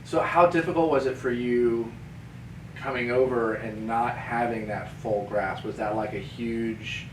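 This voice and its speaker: A woman's voice: steady tone, unhurried. A woman co-hosting a podcast with casual, playful, joking banter. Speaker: podcast co-host. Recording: echoey room, distant mic, rumbling noise.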